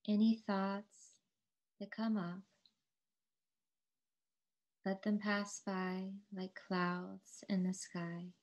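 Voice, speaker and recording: A woman yoga teacher leading a guided relaxation in a calm, soothing, measured way, with long pauses between phrases. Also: mid-range voice.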